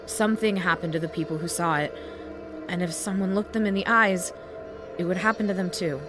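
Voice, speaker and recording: A woman's voice: lowish voice, steady tone, brisk, music in the background, lightly acted. An actress playing a wary, anxious woman who survived an alien invasion, in an audio drama. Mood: grave.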